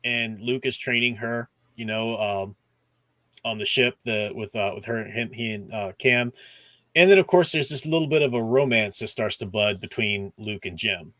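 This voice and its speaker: A man's voice: fairly low pitch, moderate pace, pushed and bright, dry recording. Casual man hosting a fan discussion. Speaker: host of a book discussion stream. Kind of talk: casual discussion and plot recap.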